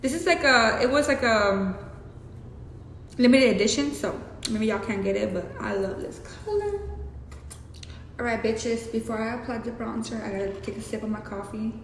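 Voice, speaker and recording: A young woman with a Mexican accent talks her way through a makeup tutorial, loose and profane, with chatty asides. Her voice sits a little high. The room is roomy with a long echo, and there is a steady hiss underneath. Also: moderate pace. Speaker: beauty vlogger.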